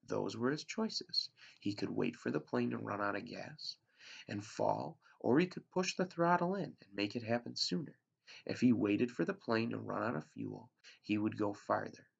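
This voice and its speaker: A male teacher reads a storybook aloud in a deep, heavily gravelly voice with a husky edge. His reading is plain and unpolished, with small stumbles and restarts. The recording sounds dull and lo-fi. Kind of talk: read-aloud storybook narration.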